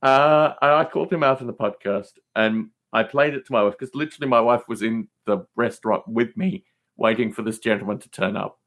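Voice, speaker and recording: A man's voice: mid-range voice, fairly quick, fairly bright tone. A middle-aged man in casual conversation, telling stories in an emphatic, rambling way and often repeating words for stress. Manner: emphatic, repetitive, rambling storyteller. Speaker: podcast host appearing as guest. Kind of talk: casual chat.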